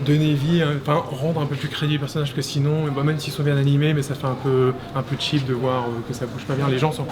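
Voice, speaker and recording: A man giving a casual tour of an animation studio, chatty and quick to laugh, with lots of fillers and restarts. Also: mid-range voice, level tone, noisy background. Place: animation studio offices, indoors.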